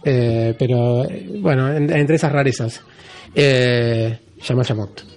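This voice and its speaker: A man's voice: mid-range voice, slow, creaky, darkish tone. A man from Argentina with an Argentine accent, a guest who explains things in a casual conversation with frequent hesitant restarts.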